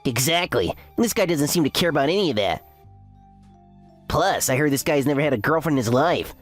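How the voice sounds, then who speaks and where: A woman's voice: sits low, animated, fairly quick, faint music behind. A woman voicing a mocking, condescending male university student in an anime-style story dub. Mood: smug, mocking. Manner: sneering, condescending. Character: smug, mocking young man, a university underclassman.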